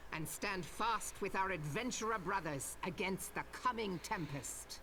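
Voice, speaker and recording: Commanding sea admiral, slow archaic oration, grave and theatrical, fantasy game, slightly noisy background. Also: female voice, on the low side, a bit bright. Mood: solemn, resolute. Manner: grave, deliberate, declamatory. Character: stern commanding female admiral rallying her pirate crew.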